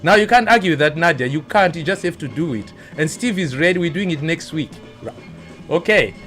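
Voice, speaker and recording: A man's voice: on the high side, lively intonation, brisk, fairly bright tone, soft background music. A man hosting a live online show, chatty and teasing as he greets viewers by name. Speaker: show host.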